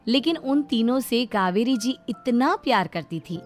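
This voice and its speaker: A woman's voice: a little high, animated, measured pace. A woman narrating a scripted family story as the storyteller. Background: background music.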